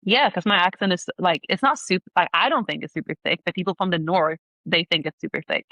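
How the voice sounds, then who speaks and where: A woman's voice: fairly low pitch, brisk, bright, forceful tone, dry recording. A young woman from the south of Sweden, a podcast guest who speaks English with a Swedish accent. She chats casually, says "like" constantly and swears freely. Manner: chatty, fills every sentence with "like", swears freely. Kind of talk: casual chat. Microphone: remote call.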